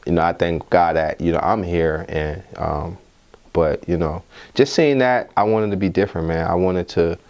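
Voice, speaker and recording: Very low-voiced young guy from liberia, podcast host, rambles and repeats himself, chill chat. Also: normal speed, lo-fi sound. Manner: warm, repetitive, restarts phrases often. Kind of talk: casual interview chat.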